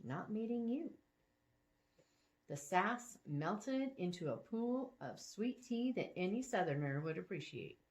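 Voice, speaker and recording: Woman reading a story aloud with lively pitch. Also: unhurried, few pauses. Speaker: author reading own fiction aloud. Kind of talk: expressive read-aloud storytelling.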